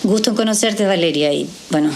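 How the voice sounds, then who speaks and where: An actress as an earnest woman doctor in an audio drama. She is skeptical and resolute, and she speaks with measured, probing seriousness in a mid-range voice. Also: mid-range voice, slight background noise, close to an everyday voice. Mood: gentle, concerned.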